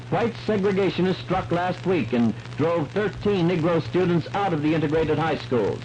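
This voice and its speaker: A man's voice: fairly high pitch, a little mellow. An American man reading scripted news in a formal announcer delivery on 1950s radio. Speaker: radio newscaster. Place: radio studio. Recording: noisy 1950s AM radio recording.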